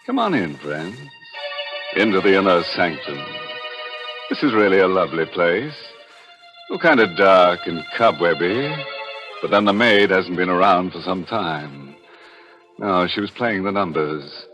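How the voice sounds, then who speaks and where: A man's voice: low voice, animated, measured pace. A man playing a ghoulish, wisecracking host of a 1940s radio horror show, droll and mock-sinister, drawing out his words. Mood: sinister glee. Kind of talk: 1940s tongue-in-cheek radio horror hosting. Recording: vintage 1940s radio recording with music.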